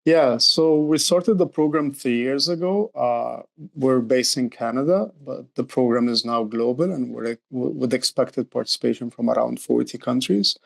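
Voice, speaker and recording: Middle-aged man with creaky voice. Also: medium pitch, moderate pace. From Egypt. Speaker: youth program director, interview guest. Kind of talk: conversational interview explanation.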